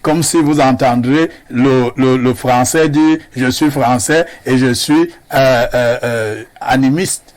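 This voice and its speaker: A man from Cameroon, speaking as a guest on a televised panel debate in a measured, lecturing way. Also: mid-range voice, a little mellow.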